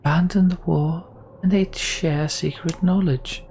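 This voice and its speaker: A man voicing a sly woman storyteller in a video game, theatrical and measured with hushed, breathy phrasing. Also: on the high side, fairly even intonation, a little hiss or hum, voice pushed up. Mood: theatrical, knowing.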